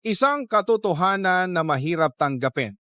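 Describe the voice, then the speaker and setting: A male radio storyteller reads a first-person love story with dramatic feeling. His voice is resonant and slightly high for a man. The studio sound is dry. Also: lively intonation, normal speed, fairly bright tone, clean tone, no echo.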